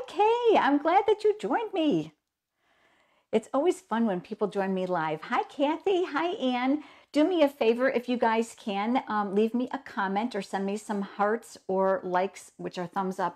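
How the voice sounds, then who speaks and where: A woman's voice: fairly high pitch, animated, normal speed, a little mellow. A woman with a cold hosts a casual live stream, chatting along, greeting viewers by name, her voice a little froggy. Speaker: host of a live stamping show.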